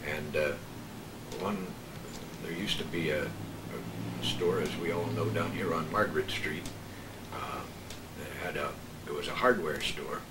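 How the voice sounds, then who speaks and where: Deep-voiced older man in a roomy, noisy recording. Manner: halting, hesitant storytelling. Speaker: veteran local radio broadcaster. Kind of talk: casual reminiscing, anecdote telling. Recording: roomy, distant mic, noisy background.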